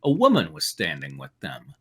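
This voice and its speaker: Bright-voiced man reading fan fiction. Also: rumbling low, huge pitch swings, moderate pace, slightly rough. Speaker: narrator and commentator.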